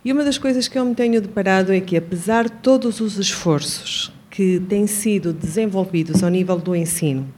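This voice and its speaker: Female audience member at a public forum, native Spanish speaker with a Venezuelan accent, medium pitch, earnest and wordy, formal delivery. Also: brisk, few pauses, a little mellow, slight background noise. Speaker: audience member asking a question, administrative worker. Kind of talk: spoken question at a public forum.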